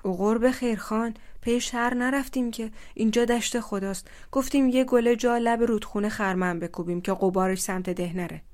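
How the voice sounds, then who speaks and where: A woman's voice: mid-range voice, fairly even intonation, darkish tone, close to an everyday voice. A young village man with an engineer's education, talking in a playful, teasing way, as a woman narrator puts him on. His lines stay close to the narrator's own voice. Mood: pleading.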